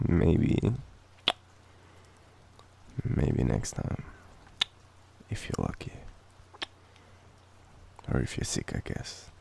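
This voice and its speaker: An Australian man with a cold speaks in a soft, halting, affectionate way, as in an intimate boyfriend roleplay. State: sick with a cold. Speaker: audio roleplay performer playing an affectionate sick boyfriend. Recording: background noise of rain. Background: rain ambience.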